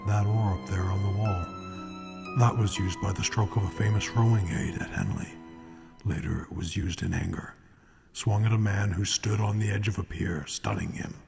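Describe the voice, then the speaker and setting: Man playing sardonic crime narrator, very low gravelly voice, ominous pauses, radio mystery, prominent music behind. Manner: slow dramatic pauses, ominous, wry. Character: ominous, sardonic male narrator of true-crime murder tales.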